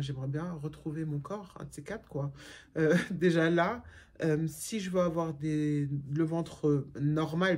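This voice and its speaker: A woman's voice: low voice, a little mellow. Frank chatty woman vlogger. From Germany. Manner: chatty, frank, many fillers and restarts. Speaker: YouTuber answering viewer questions. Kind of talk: casual chat.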